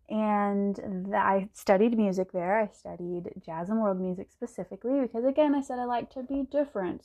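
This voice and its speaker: A woman's voice: mid-range voice, a little mellow, highs cut off. Chatty young woman hosting a podcast. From Texas. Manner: chatty, laughs often, many ums. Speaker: podcast host. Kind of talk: casual solo podcast monologue.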